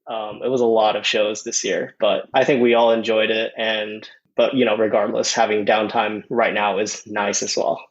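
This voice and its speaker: A man's voice: fairly low pitch, level tone, moderate pace, few pauses, muffled recording. A young man who grew up in Thailand, chatting casually as a guest musician, with lots of "dude" and "man". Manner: laid-back, many fillers like "dude" and "man". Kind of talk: casual interview chat.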